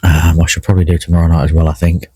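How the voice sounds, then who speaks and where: Low, croaky middle-aged man rambling. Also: rapid-fire, dry recording, clean studio sound. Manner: relaxed, rambling, many fillers and restarts. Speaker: carp angler vlogging a session. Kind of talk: casual solo vlog commentary. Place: in a fishing bivvy by a lake.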